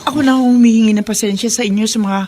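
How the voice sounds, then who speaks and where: A woman's voice: on the high side, fairly even intonation, very fast, lightly acted. Woman playing a patient, pleading Filipino mother. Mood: placating. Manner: gentle, pleading, placating. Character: patient, worried, hardworking mother of a teenager. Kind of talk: radio drama acting.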